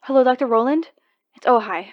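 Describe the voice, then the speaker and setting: A woman's voice: a little high, fairly quick, no echo, lo-fi sound. A woman playing a polite, worried young girl calling for help over the phone in an audio drama. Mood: anxious, polite.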